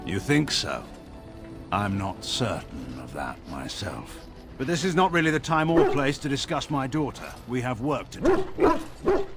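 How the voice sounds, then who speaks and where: An actor playing a proud, stern middle-aged general and father in a fantasy game, speaking in a grave, measured, formal way with dry sarcasm. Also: medium pitch, wildly swooping pitch, loud music behind the voice. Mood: curt, guarded. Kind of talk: dramatic fantasy game dialogue.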